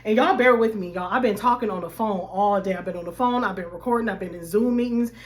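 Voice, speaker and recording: A woman life coach, slightly hoarse, talking animatedly to her viewers in a casual faith-based vlog. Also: medium pitch, quick pace. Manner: animated, addresses viewers as y'all.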